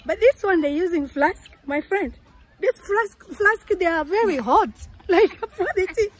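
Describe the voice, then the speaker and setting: High-voiced woman chatting excitedly outdoors. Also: unhurried, poor audio. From Tanzania. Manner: animated, laughing, enthusiastic storytelling. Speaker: visitor from the UK. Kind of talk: casual chat to camera. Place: outdoors on a grassy village field with tents.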